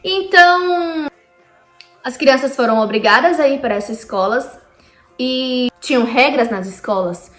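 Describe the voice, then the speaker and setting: Brazilian woman vlogger, higher voice, chatty and direct with viewers; echoey room, faint music under. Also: measured pace, fairly bright tone. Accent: Brazilian Portuguese. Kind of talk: casual explainer vlog. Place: lives in Canada.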